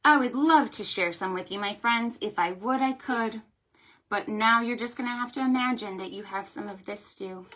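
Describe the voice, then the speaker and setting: A woman leading a children's storytime, speaking in an animated sing-song way with exclamations and questions for young listeners. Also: a little high, moderate pace. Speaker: children's librarian hosting storytime. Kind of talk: children's storytime with read-aloud and pretend play.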